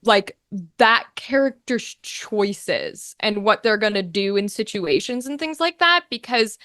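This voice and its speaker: A woman's voice: mid-range voice, expressive, a little slow, fairly bright tone, dry recording, clean studio sound. A woman speaking casually as an interview guest, talking in an emphatic, informal way as a voice actor and game master.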